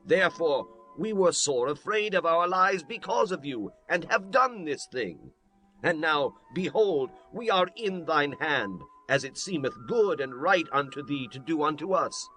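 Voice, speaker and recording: A wily ambassador of the ancient world in a scripture audio drama. His voice sits rather high, humble and pleading, with solemn, deliberate phrasing. Soft music plays behind him. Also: animated. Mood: fearful submission. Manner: solemn, deliberate biblical delivery. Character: wily male Gibeonite envoy, humble and pleading.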